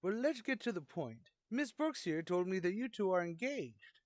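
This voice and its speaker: A man's voice: high-pitched, big pitch swings, normal speed, no echo, studio quality. An actor playing a spry, cocky elderly man, folksy and blunt, in an old-time radio comedy. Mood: suspicious.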